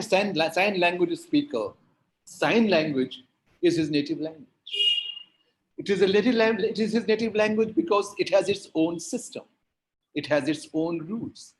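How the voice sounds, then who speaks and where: A man whose native tongue is Hindi and Urdu speaks at a panel like a lecturer. His voice sits a little high, and he stretches out stressed words and builds emphasis through repeated phrases. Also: rough recording. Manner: emphatic, rhetorical repetition, drawn-out stresses. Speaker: linguistics academic, panelist.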